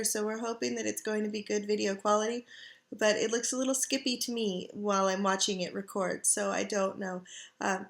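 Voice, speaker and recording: Middle-aged woman, gravelly scratchy throat, kinda high voice, rambly couch chat into a webcam. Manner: rambling, chatty, frequent ums and throat clearing. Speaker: craft vlogger. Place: living room couch at home. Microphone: computer webcam.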